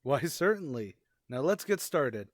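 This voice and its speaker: A man's voice: mid-range voice, sing-song, measured pace, no echo, clean studio sound. An actor playing an earnest, naive male teacher, easily flustered and literal-minded, in an old-time radio comedy. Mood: cheerfully oblivious.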